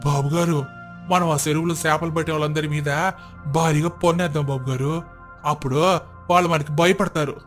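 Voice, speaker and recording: Landlord's clerk acted by a man: fawning, sly, deferential, bright forceful tone with faint music. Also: on the high side, steady tone, normal speed, pauses often, close to an everyday voice. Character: obsequious, scheming landlord's clerk.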